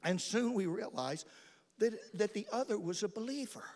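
A man's voice: high-pitched, expressive, moderate pace, darkish tone. A man preaching a storytelling sermon as a missionary, emphatic and dramatic, repeating phrases for effect. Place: large church or hall. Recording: hall reverb from a large room.

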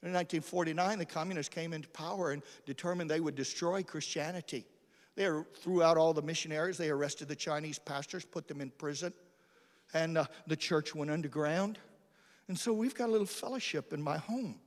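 High-voiced male preacher in a reverberant hall. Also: fairly quick. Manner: emphatic, dramatic, repeats phrases for effect. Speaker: missionary preacher. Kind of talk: storytelling sermon. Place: large church or hall. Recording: hall reverb from a large room.

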